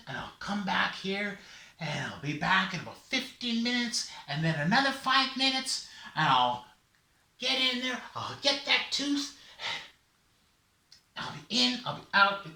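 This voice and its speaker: A man voicing a brash, smooth-talking raven who poses as a reassuring dentist in a children's story. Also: sits high, pushed and bright, voice pushed up, full character voice. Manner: smooth-talking, emphatic, salesman-like patter. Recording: roomy echo, distant mic.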